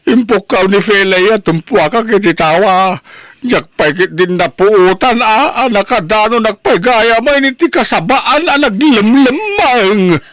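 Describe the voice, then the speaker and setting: A man playing an excitable, indignant older uncle in a family radio drama, exclaiming and scolding. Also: high-pitched, unhurried, no room sound. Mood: aggrieved. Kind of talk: Ilocano radio drama acting.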